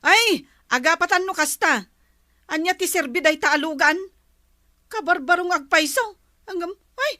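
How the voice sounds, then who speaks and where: High, bright, nagging Ilocano wife played by an actress. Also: female voice, expressive, a little slow, long pauses, dry recording, clean studio sound, exaggerated voice. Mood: indignant. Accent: Ilocano Filipino. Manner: complaining, exasperated. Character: nagging exasperated wife fretting over household spending. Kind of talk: Ilocano comedy drama acting.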